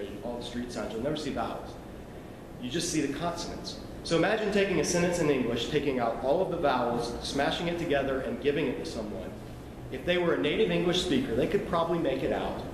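A man teaching a sermon in a conversational, explanatory way, with asides to his listeners. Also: on the high side. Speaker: preacher. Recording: echoey hall, noisy ambience.

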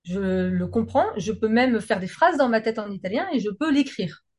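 Talkative French woman with a higher voice. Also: expressive, moderate pace, a little mellow, slightly rough. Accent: French from France. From France. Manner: talkative, informal, slangy. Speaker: singer, guest. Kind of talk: casual conversation.

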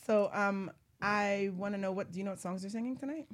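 A woman's voice: medium pitch, fairly quick. A woman hosting a talk show in a casual, bantering style.

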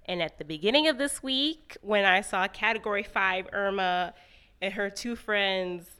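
A woman's voice: medium pitch, moderate pace, sharp tone, smooth. A woman from Florida co-hosting a podcast in chatty, casual talk full of likes and ums. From Florida, USA. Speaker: podcast co-host. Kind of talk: casual chat.

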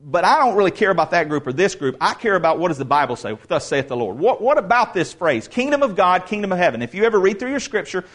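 A man's voice: high voice, sing-song. Plainly folksy preaching man. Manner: conversational preaching with asides and restarts, rising to loud proclamation; reads scripture aloud slowly. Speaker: pastor. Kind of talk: expository sermon.

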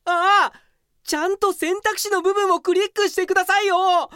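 A woman's voice: very high-pitched, fairly even intonation, normal speed, pushed and bright, no room sound. A woman playing an earnest, delusional young male office geek in a comedy radio drama, polite yet pompous and theatrical. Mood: indignant.

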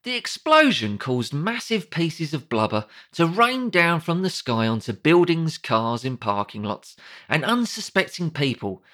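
Wry British man with very lively intonation. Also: fairly high pitch, unhurried. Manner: wry, sardonic, conversational asides. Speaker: audiobook narrator. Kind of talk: comedic scripted narration.

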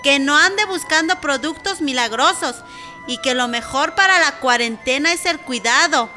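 Outspoken village midwife, Mexican accent, high pitch, sharp forceful tone, indignant scolding, radio soap. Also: female voice, normal speed, faint music behind. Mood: firm, scolding. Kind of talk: radio soap opera acting.

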